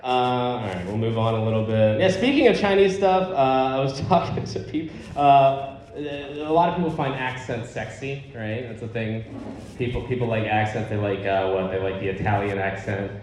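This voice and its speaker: A man's voice: medium pitch, moderate pace, a little mellow, heavy reverb, slight background noise, rough recording. A man doing a stand-up comedy set in a rambling, profane, conversational way in a live venue. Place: live comedy show, on stage.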